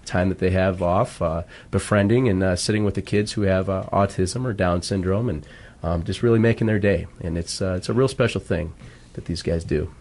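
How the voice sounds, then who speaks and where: A man speaking as a school football coach in a radio interview, earnest and halting as he explains. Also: deep voice, darkish tone.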